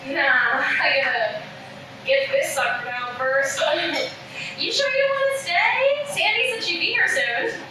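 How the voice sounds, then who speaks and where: A woman playing a needy, excitable former child TV star, gushing and insistent, in a live comedy table read. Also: sits high, unhurried. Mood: chatty. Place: live reading in an echoey room. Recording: very echoey room, distant mic, background noise.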